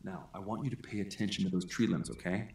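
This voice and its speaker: A man's voice: sits low, animated, quick pace, vocal fry, warm tone, echoey room. A man acting as an earnest squatch hunter guiding a companion through the woods in an audio drama. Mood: earnest, instructive.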